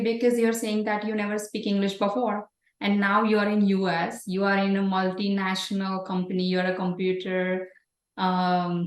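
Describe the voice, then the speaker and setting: A woman hosting an interview, asking slow, gently encouraging questions. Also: medium pitch, roomy sound. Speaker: interview host.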